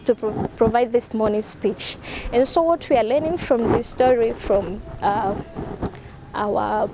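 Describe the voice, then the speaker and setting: A woman with a Tanzanian accent, a teacher coach telling a classroom story in a webinar, lively when she quotes the children. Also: high voice. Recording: noisy call with background noise.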